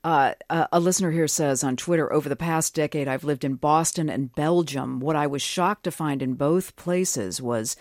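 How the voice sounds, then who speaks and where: A man's voice: a little high, moderate pace, few pauses, no echo, clean studio sound. A man hosting a conversational radio interview, asking curious questions and reading out listener comments. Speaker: radio show host.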